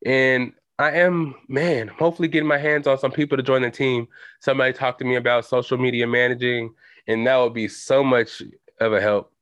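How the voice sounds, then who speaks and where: A man's voice: medium pitch, fairly even intonation, fairly quick, a bit bright, no room sound. A young adult man hosting a solo podcast, talking loosely in slang with lots of fillers. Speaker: podcast host. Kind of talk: solo casual podcast chat. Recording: dull lo-fi home recording.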